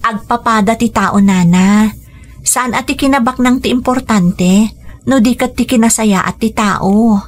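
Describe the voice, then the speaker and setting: A woman's voice: on the high side, unhurried. Young woman in love played by an actress, polite, earnest, resolute, radio drama delivery. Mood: earnest, determined. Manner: earnest, respectful. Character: devoted, polite, determined young wealthy girlfriend.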